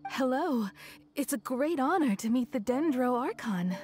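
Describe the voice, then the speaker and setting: A woman playing a gracious, gentle mother in a video game, polite and soft-spoken. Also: sits high, expressive, measured pace, over music. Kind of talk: game voice acting.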